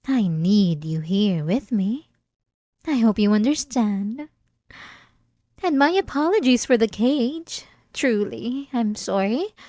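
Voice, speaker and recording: A woman's voice: fairly high pitch, darkish tone, no echo, studio quality. A woman playing an obsessive, possessive centuries-old vampire in an ASMR roleplay, speaking in a theatrical, silky, menacingly tender way. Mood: tender, controlling.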